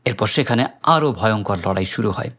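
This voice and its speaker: A man's voice: lowish voice, expressive, a little fast, a bit bright. A man with a Bangladeshi accent narrates a film recap as scripted storytelling.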